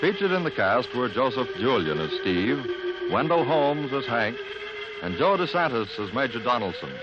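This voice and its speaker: A man's voice: medium pitch, big pitch swings, normal speed, over music. A man giving formal, declamatory scripted announcements in the 1950s radio style. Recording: muffled, noisy old radio recording.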